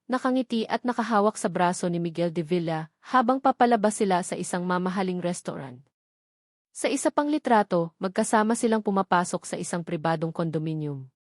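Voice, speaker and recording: A woman narrates a romantic drama from a script. Her voice sits at a medium pitch, and the sound is dry and free of room echo. The delivery is that of a dramatic storyteller. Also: darkish tone.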